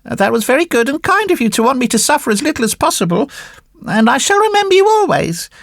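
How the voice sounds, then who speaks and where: A sly marionette boy with a high, gravelly, mocking voice that bounces up and down as he gloats. The storybook puppet sounds impish and boastful. It is recorded close and dry. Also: sing-song, fast talker, a bit bright, no echo, exaggerated voice. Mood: cheeky. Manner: playful, teasing storytelling. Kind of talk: audiobook reading.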